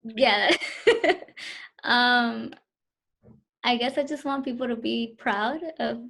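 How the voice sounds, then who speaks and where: A woman's voice: a little high, takes it slowly, creaky, sharp tone. A woman in her twenties answering interview questions over a video call, hesitant and full of fillers. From Southern California, US. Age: 20s.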